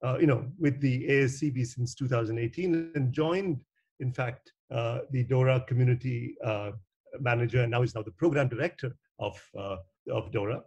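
Husky, halting male panel moderator. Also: mid-range voice, a little mellow, dull audio. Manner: halting, searching for words, frequent hesitations. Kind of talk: semi-formal spoken introductions at a virtual meeting. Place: indoor room on a video call. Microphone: video call microphone.

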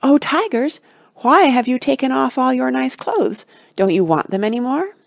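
A woman's voice: mid-range voice, fairly quick, a little mellow, dry recording, clean studio sound, natural voice. A woman voicing a small, polite, earnest little boy in a children's storybook. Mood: calling out.

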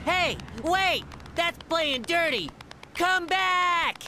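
A woman voicing a small, eager flying creature sidekick in a game cutscene, childlike and excitable. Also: extremely high pitch, very slow, slight background noise, exaggerated voice. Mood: indignant. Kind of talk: animated game cutscene acting. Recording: music behind the voice.

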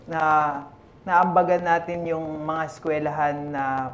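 A young man, a church member guest on a talk show, answering earnestly with frequent "ah" pauses. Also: on the high side, steady tone, lo-fi sound. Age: young adult. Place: small TV studio set. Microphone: lapel mic. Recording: roomy studio sound.

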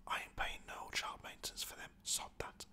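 Casting: performer playing shameless, lusty male Labrador in a comic pet tale, British, breathy sharp whisper. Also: normal speed. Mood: cocky, randy.

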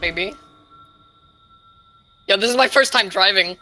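A woman's voice: mid-range voice, unhurried, pushed and bright. A young adult woman chatting casually as a co-player in a video game. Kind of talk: casual chat while gaming.

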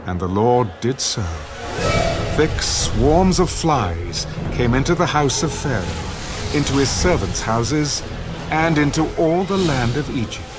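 A man narrating scripture in a scripted dramatic style, solemn and measured. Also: mid-range voice. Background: music.

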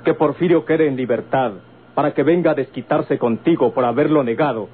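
An actor playing a stern, calculating gentleman with a Mexican accent, deliberate and insistent, in an old radio drama. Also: medium pitch, slightly rough, close to an everyday voice. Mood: stern, pressing. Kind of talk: golden-age Mexican radio drama acting. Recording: tinny, noisy old radio recording.

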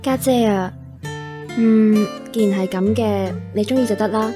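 A gentle younger sister played by an actress in a radio drama. She has a medium-pitched voice and speaks with concern. Music sits faintly behind her. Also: unhurried, lightly acted.

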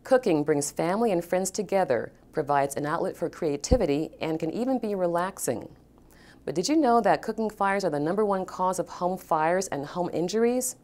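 A woman delivering a scripted safety announcement in a calm, measured and deliberate way. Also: on the low side. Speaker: safety spokesperson. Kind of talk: scripted public service announcement.

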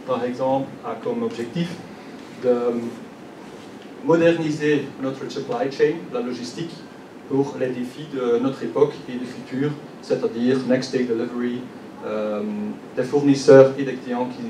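A man's voice: medium pitch, steady tone, unhurried, noisy background. Belgian-accented guy presenting at a conference, lots of ums, roomy hall, mic a bit far off. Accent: Belgian French. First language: not French. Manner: hesitant, many fillers and restarts. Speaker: conference speaker. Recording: echoey hall, distant mic.

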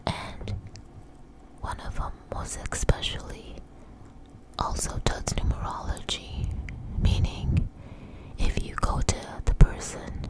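A woman telling a personal story in a close, breathy ASMR whisper, with halting, deliberate phrasing. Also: rough recording. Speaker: ASMR channel host. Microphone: close microphone.

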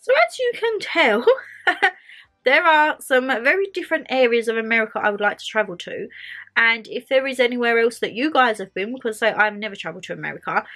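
A woman's voice: on the high side, fairly bright tone. A young woman chatting casually to camera from her bedroom, bubbly and gesturing as she talks, still getting over a cold. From England. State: lingering cold. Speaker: vlogger. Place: bedroom, indoors.

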